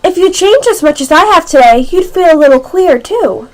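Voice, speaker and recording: Young girl acting bewildered polite little girl in amateur radio play, really high voice. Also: animated, normal speed, rough recording.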